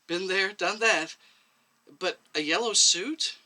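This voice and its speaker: A man's voice: sits high, slow pace, bright, forceful tone, voice pushed up, exaggerated voice. A man voicing a timid female doctor in a story, hesitant, stammering and defensively pleading.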